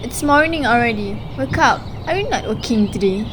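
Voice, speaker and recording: Troubled young wife, acted by a woman, highish voice. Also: animated, normal speed, background noise, close to an everyday voice. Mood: exasperated. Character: troubled, long-suffering young wife and mother. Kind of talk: amateur radio drama acting.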